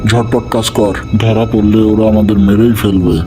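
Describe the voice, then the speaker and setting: A hard-edged bandit chief, as a man acts him out snaps orders at his gang in a low, gruff voice. He sounds wary and in charge. A cartoon music bed plays under him. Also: flat delivery, moderate pace, close to an everyday voice. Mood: urgent. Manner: commanding, brusque orders.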